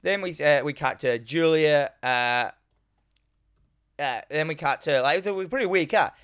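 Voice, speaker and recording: Male co-host, low from a cold, smooth clear voice, dry close mic, swears a lot. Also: fairly bright tone, dry recording. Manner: swears freely, acts out characters' lines in quick voices. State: getting over a cold, voice lower than usual. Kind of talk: casual film recap chat.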